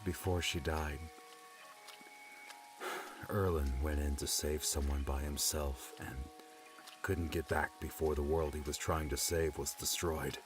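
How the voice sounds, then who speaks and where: Casting: haunted, tender young adventurer played by a male narrator, extremely deep voice, reflective and halting, music underneath. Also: steady tone. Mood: grieving.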